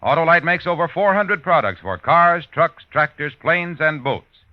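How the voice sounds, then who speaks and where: An American man announces and sells in 1950s radio style. His pitch sits fairly high and swings widely. The old recording sounds muffled, with faint music behind. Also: expressive, normal speed, no echo.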